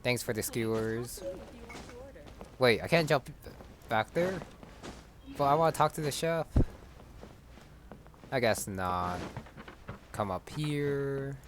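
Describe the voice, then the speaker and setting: A man's voice: mid-range voice, animated, slow, pauses often, noisy background. A man giving casual let's-play commentary, musing to himself in short remarks as he plays a video game. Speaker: gamer commenting on his playthrough. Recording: game music under the commentary. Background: game music.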